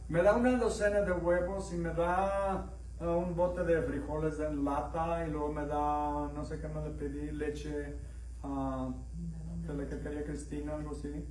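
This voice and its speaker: A man's voice: sits high, a little mellow, recorded from a distance, a little hiss or hum. A middle-aged Mexican man with a Mexican accent preaching a conversational sermon, telling stories and asking the congregation questions. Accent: Mexican, slightly mixed. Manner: storytelling, asks the congregation questions, acts out dialogue in raised voices. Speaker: pastor. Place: church sanctuary. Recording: echoey room, voice heard from afar.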